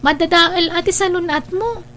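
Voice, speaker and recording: An actress playing a caring, sweet younger woman in an Ilocano radio drama, with an earnest, expressive delivery. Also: extremely high pitch, moderate pace, slight background noise. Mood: concerned.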